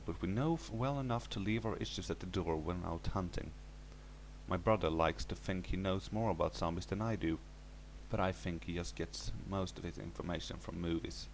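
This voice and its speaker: A man's voice: low voice, slight background noise, rough recording. A man reading a scripted first-person horror story as its narrator.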